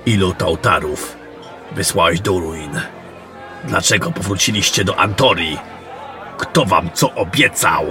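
A man playing a gruff, battle-worn, commanding general in a fantasy audio drama, blunt and suspicious in his questioning. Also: deep voice, a little slow. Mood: confronting. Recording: music behind the voice.

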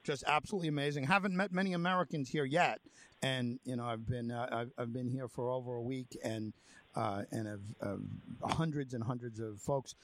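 A man's voice: medium pitch, fairly even intonation, moderate pace. A man hosting an interview, talking in a rambling way with many fillers. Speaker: host. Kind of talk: casual interview. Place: near the Polish border.

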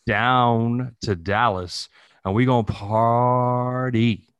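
A man's voice: mid-range voice, steady tone, very slow, smooth, dry recording, dull audio. A man chatting casually as a podcast co-host, slangy, bantering and profane. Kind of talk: casual chat.

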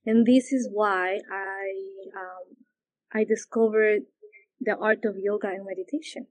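A woman from Peru, a yoga teacher, answering interview questions slowly and with many pauses and fillers. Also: medium pitch, steady tone, dry recording. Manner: hesitant, searching for words, many fillers.

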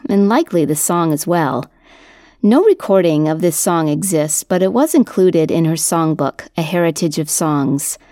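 A woman reading scripted storytelling narration for a folk history podcast in a measured, literate manner. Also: fairly low pitch, a little mellow, no room sound, studio quality. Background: music under the voice.

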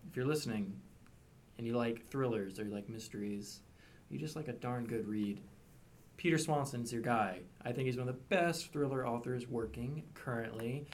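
A man's voice: mid-range voice, moderate pace, slight background noise. A man co-hosting a podcast, eagerly recommending a thriller author in a casual, conversational way. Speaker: podcast co-host. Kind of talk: casual chat, book recommendations.

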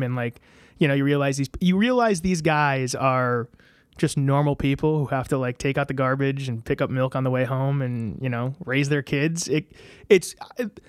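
A man's voice: medium pitch, clean tone, no room sound, clean studio sound. A man in his thirties, a guest in a casual interview, telling stories with lots of fillers and restarts. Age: 30s. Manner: rambling, many fillers and restarts.

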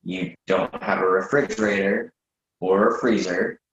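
A man's voice: low-pitched, steady tone, highs cut off, a little hiss or hum. Man playing an exasperated friend, roomy echo. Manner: hesitant, halting, incredulous. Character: exasperated, reluctant male friend, sensible but awkwardly polite. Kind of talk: readers theatre comedy dialogue.

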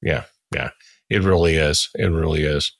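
A man's voice: extremely low pitch, normal speed, creaky, dry recording, studio quality. A man in his sixties hosting a conversational interview podcast, giving his opinions with emphasis. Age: 60s.

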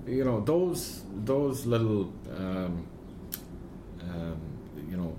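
Irish man, deep voice, hesitant interviewee, echoey room. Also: expressive, background noise. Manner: halting, many fillers and restarts. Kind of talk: reflective oral history interview.

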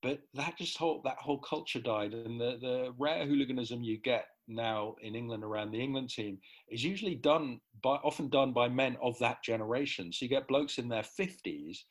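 A man's voice: medium pitch, moderate pace, few pauses. A middle-aged man giving an articulate, analytical explanation as an interview guest. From grew up in the Netherlands. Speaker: interview guest, football writer.

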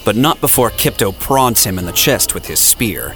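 A man's voice: on the low side, sing-song, normal speed, music in the background. A man narrating an audio drama in a scripted, dramatic storytelling style.

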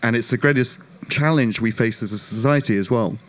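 A man from the UK speaking on a panel as a non-profit director, his voice slightly croaky, telling his story in a self-deprecating, animated way. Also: mid-range voice, brisk. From United Kingdom. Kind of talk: panel discussion.

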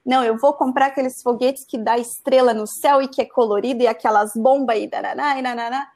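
Uma mulher jovem de Santa Catarina contando uma história de forma casual e animada, cheia de "né". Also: female voice, on the high side, fast. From Santa Catarina, Brazil. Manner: animated, frequent "né" tags. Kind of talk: casual storytelling.

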